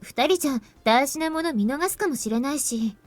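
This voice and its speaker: A woman playing a dependable, composed older schoolgirl who gently takes charge in an anime visual novel. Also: high voice, animated, rapid-fire, no echo. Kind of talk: Japanese visual novel voice acting. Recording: music under the voice.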